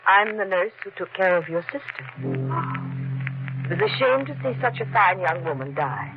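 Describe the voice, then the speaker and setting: An actress playing a sinister, falsely soothing nurse in a 1940s radio mystery, coaxing with quiet menace. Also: female voice, mid-range voice, lively intonation, moderate pace, over music, natural voice. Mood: coolly insistent. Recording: noisy, muffled 1940s radio recording.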